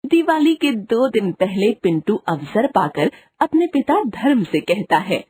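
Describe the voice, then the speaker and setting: A woman's voice: mid-range voice, big pitch swings, unhurried, darkish tone, slight background noise. A woman narrating a scripted children's moral story.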